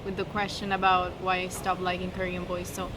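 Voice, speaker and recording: A woman's voice: fairly low pitch, monotone, brisk. A twenty-one-year-old woman, a college student co-hosting a casual Q&A and sometimes searching for English words. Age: early 20s. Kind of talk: casual Q&A chat. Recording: noisy background hiss.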